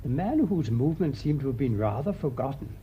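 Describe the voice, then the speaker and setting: A British man acting a thoughtful, shrewd priest sleuth in a radio mystery, speaking in a measured, deliberate way. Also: sing-song, close to an everyday voice. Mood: shrewd, assured. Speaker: actor in the lead detective role. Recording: muffled radio recording with faint rumbling noise.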